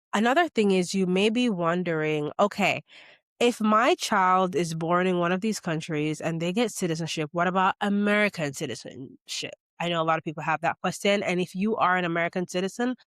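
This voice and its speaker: A woman's voice: lowish voice, no echo, clean studio sound. A woman from Jamaica giving a casual, chatty explainer as a vlogger, often checking in with "okay?" and "you know". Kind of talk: casual talking-head explainer.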